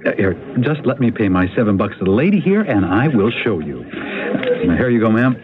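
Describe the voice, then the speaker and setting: An actor playing a playful husband and photography buff in a radio drama, chatty and teasing in an enthusiastic way. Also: male voice, on the low side, big pitch swings, moderate pace, crowd noise. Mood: eager, cheerful. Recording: tinny, noisy old radio broadcast recording.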